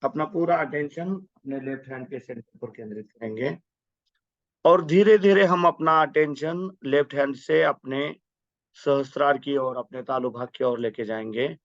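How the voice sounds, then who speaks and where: A man's voice: fairly high pitch, fairly bright tone, no room sound. Man guiding meditation, dull dry recording. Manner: reverent, instructional. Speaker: meditation guide. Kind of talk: guided meditation with spoken prayers.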